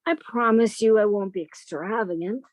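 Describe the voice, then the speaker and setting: An actress playing an earnest, outwardly timid but cunning sister in an old-time radio drama, with theatrical delivery. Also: female voice, mid-range voice, normal speed, no echo, close to an everyday voice. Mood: meek pleading.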